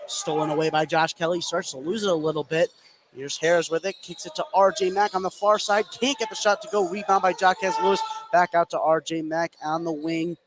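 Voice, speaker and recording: Higher-voiced man calling basketball, noisy background. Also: steady tone, fairly quick. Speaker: play-by-play sports commentator. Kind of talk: live basketball radio play-by-play. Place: indoor basketball gym, courtside. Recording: clearly noisy live gym ambience.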